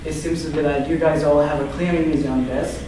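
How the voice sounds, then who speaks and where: A man with a Korean accent teaching an English class, halting with fillers and restarts. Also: a little high, steady tone. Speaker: student teacher. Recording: echoey classroom from far off, noisy.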